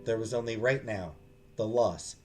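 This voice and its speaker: A man's voice: on the low side, a little slow. A man narrating a visual novel aloud in a dramatic storytelling style as a game streamer. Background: music.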